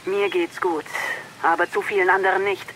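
An actress playing an urgent, battle-worn resistance fighter in a game audio drama, brisk, over a radio link. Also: female voice, fairly low pitch, fairly even intonation, fairly bright tone, poor audio. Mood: urgent, weary. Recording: radio transmission with faint noise.

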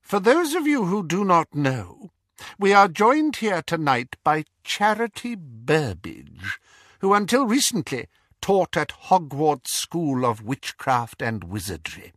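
A man voicing a cold, menacing male dark lord with a quiet, carrying voice, speaking slowly and mockingly. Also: on the high side, big pitch swings, dry recording, studio quality, pitched above the performer's own voice, exaggerated voice. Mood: sneering. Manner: slow, silky and mocking. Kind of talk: audiobook character voice.

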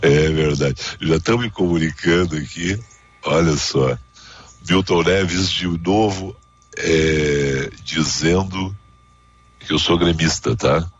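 A man's voice: very deep voice, takes it slowly, husky, highs cut off. A man with a southern Brazilian accent hosting a radio talk show, giving casual, opinionated commentary in an emphatic, repetitive, colloquial way. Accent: southern Brazilian Portuguese.

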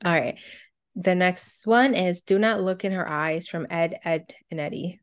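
A woman's voice: fairly low pitch, measured pace. A woman co-hosting a casual countdown show, reading out entries and reminiscing in a conversational way. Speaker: co-host of a cartoon countdown show.

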